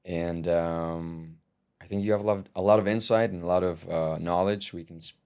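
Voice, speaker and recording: A man's voice: deep voice, normal speed, clean tone. A young man hosting a podcast conversation, talking loosely with many fillers and friendly praise. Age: young adult. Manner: relaxed, filler-heavy, warm toward guest. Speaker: podcast host and musician.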